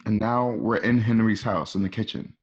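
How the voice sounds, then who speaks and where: A man's voice: on the low side, steady tone, normal speed, highs cut off. A man reading scripted scene directions as the narrator of a radio play.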